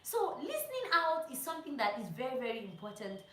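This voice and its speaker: A woman giving casual, animated instructional vlog talk, telling anecdotes and repeating phrases for emphasis. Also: high-pitched, quick pace. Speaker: vlogger and pilot sharing training tips. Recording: roomy echo.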